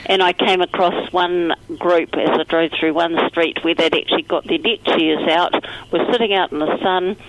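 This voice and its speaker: A woman with a croaky voice, talking upbeat and at length as a chief executive in a radio phone interview. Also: lowish voice, steady tone, normal speed, hardly any pauses, heavy background noise. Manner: upbeat, talkative, positive. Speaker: retirement trust chief executive.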